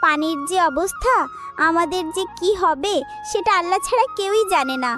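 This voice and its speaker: Cartoon jackal mum, female actor: very high voice, gentle, worried, soothing, music behind. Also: lively intonation, moderate pace. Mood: anxious. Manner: soothing, affectionate, plaintive. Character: poor, tender, worried jackal mother. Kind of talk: cartoon voice acting.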